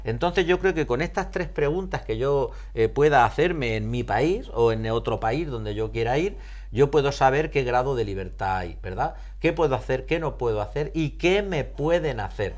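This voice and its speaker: A man's voice: mid-range voice, expressive, moderate pace, a little mellow. A man giving explanatory interview answers in a didactic way, posing and repeating rhetorical questions and often searching for words. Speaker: psychologist being interviewed.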